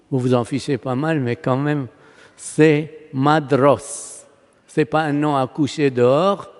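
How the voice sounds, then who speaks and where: A Palestinian man, a native Arabic speaker with a Palestinian Arabic accent, preaching a sermon as a priest in an emphatic, oratorical manner with rhetorical questions and pauses. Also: on the high side, darkish tone. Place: large church, preaching to a congregation. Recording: long reverberant church hall echo.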